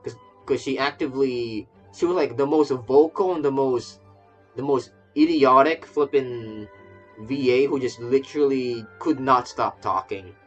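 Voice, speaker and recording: A man's voice: mid-range voice, a little slow. A man livestreaming a game with casual, rambling commentary, often stammering and repeating phrases. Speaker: game streamer, VTuber. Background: faint game music.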